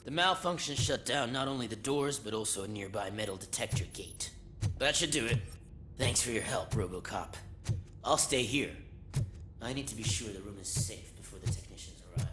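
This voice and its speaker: A man's voice: lowish voice, moderate pace, a bit bright, soft background music, natural voice. An actor playing a tired security guard who talks casually and grumbles about his boss. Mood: grumbling.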